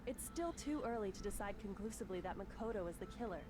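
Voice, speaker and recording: A woman's voice: medium pitch, lively intonation, fast talker, a crowd in the background, close to an everyday voice. A woman playing a composed, teasing teenage girl sleuth in an amateur anime game dub. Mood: cool, knowing. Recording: clearly noisy background.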